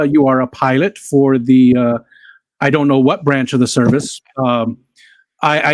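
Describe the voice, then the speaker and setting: Laid-back male host, mid pitch, dry close sound. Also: dry recording. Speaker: livestream host. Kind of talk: casual interview chat.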